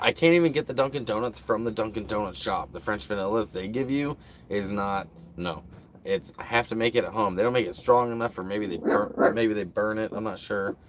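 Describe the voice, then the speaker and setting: A man's voice: fairly low pitch, a little hiss or hum. A man hosting a casual livestream, rambling to his viewers with lots of fillers. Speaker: livestream host.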